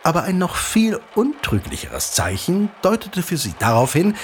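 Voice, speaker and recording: An actor playing an eager father who recounts an old legend in an audio drama, animated, teasing and dramatic, like a keen storyteller. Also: high-pitched, normal speed, a little hiss or hum. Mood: suspenseful, animated. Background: music.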